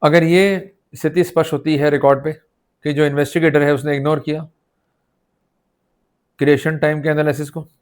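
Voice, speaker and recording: A man's voice: on the high side, little pitch movement, moderate pace, pauses often. A man with an Indian accent teaching law in an explanatory, didactic lecture style. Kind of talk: explanatory legal lecture mixing Hindi and English.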